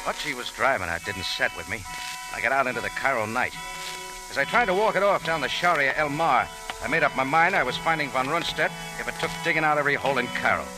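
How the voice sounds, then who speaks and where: A man's voice: mid-range voice, fast, over music, lightly acted. An actor playing a tough, wry American adventurer who narrates his own story in clipped slang in an old radio thriller. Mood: tense narration. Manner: clipped, slangy, hard-boiled. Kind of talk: 1940s-50s radio drama acting. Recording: hissy, crackly old radio recording.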